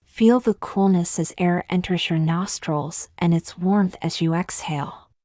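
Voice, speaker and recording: A woman with a slightly low voice leads a guided meditation. Her phrasing is gentle, slow and measured, with long pauses between instructions. The sound is dry and close to the mic. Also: steady tone, dry recording.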